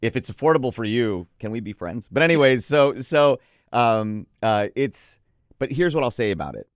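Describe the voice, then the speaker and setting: A man's voice: medium pitch, very animated, normal speed, no room sound, studio quality. A man co-hosting a product preview, reviewing gear conversationally with emphatic, enthusiastic praise.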